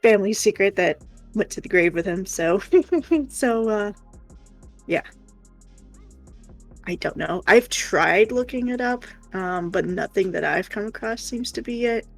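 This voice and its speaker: A woman co-hosting a livestream in casual chat, rambling and hesitant as she thinks aloud, with a creaky voice. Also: medium pitch, a little slow, long pauses, faint music behind. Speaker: co-host of an art livestream.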